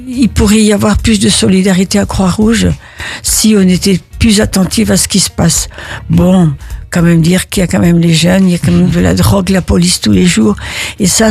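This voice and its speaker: Older woman from Italy, gravelly and husky, halting interview answers with many restarts. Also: mid-range voice, a little mellow, no echo. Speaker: interviewee, neighborhood volunteer.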